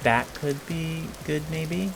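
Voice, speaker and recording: A hoarse man giving casual, hesitant commentary over a video game, thinking aloud with frequent pauses and fillers. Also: fairly high pitch, background noise. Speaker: gameplay video creator. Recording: clear game music under the voice. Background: game music and rain sounds.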